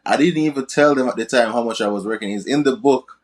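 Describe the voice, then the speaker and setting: A man's voice: medium pitch, fast talker. A young man speaking as a podcast guest in a casual interview, often tagging his sentences with "right?". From Jamaica. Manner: tags many sentences with "right?". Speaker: guest, crypto and business coach.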